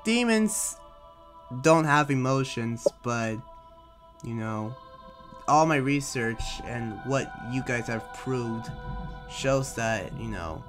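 A man's voice: mid-range voice, animated, slow pace, fairly bright tone. Pensive man playing a weary demon slayer researcher. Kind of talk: improvised Minecraft anime roleplay. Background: music.

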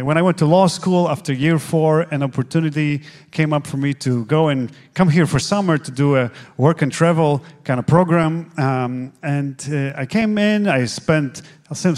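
Polish-accented man, 40s, higher voice, earnest, halting storyteller with fillers, handheld stage mic. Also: darkish tone. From Poland. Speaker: guest pastor. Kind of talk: telling his faith story on stage. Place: church stage, seated in armchair.

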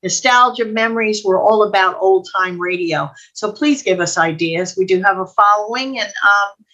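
Older american lady co-hosting, bright sharp voice, chats along and rambles a bit. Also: medium pitch, moderate pace, highs cut off. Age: older, 60s or above. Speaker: co-host. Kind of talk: casual chat. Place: indoor room at home, video call. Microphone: computer mic on video call.

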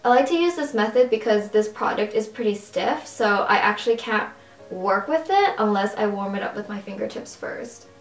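A young woman with a raspy, strained voice chatting casually through a makeup tutorial at home. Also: medium pitch, expressive, moderate pace, roomy sound, soft background music. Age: young adult. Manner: chatty, upbeat, casual with fillers. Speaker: beauty vlogger. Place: small room at home, indoors.

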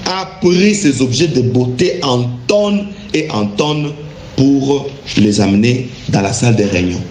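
A man telling a religious testimony in deliberate, emphatic, halting phrases. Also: medium pitch, expressive, darkish tone. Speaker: guest giving a religious testimony. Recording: echoey room with faint background noise.

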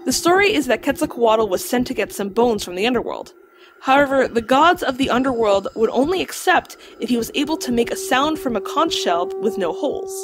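A woman voicing a learned talking dog in an audio drama, lecturing precisely and calmly on lore. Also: sits high, brisk. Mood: lecturing, composed. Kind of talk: audio drama acting, explanatory storytelling.

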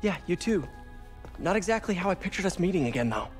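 Game role: young American superhero, earnest, slightly hesitant, natural delivery, music underneath. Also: male voice, sits high, very animated, fast talker. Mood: concerned, awkward. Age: young adult. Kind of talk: naturalistic cinematic video game acting.

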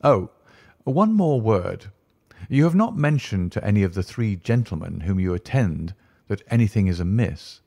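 A man voicing a calm, incisive male detective who speaks in a measured, commanding way. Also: on the low side, vocal fry, slightly rough, dry recording, clean studio sound, heavily acted.

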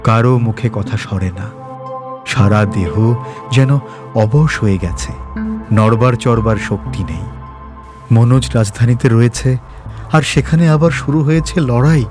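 A man narrating a scripted story with expressive, dramatic phrasing. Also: mid-range voice, measured pace, long pauses. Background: faint background music.

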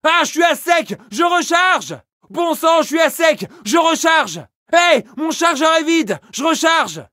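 An actor playing an urgent, battle-hardened soldier in a video game, barking clipped military callouts with emphasis. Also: male voice, very high voice, sing-song, measured pace, bright, forceful tone, raised voice, exaggerated voice. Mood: commanding, urgent. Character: urgent, battle-hardened French colonial infantry soldier and tank crewman.

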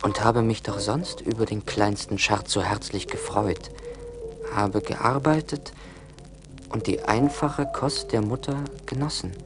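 An actor playing a rich, cold-hearted young man in a radio play, joyless, deliberate and self-important. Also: on the low side, fairly even intonation, over music, lightly acted. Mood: weary, brooding. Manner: brooding, deliberate, haughty.

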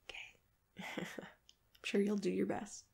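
A young woman whispers softly and slowly, as if to one person right beside her. Her breathy ASMR delivery is gentle and unhurried, with some crackly fry in it. She is close to the microphone. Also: medium pitch, completely flat delivery. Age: young adult. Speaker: ASMR roleplay host playing a sketch artist. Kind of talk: ASMR personal-attention roleplay. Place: bedroom, indoors. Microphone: close mic, facing camera.

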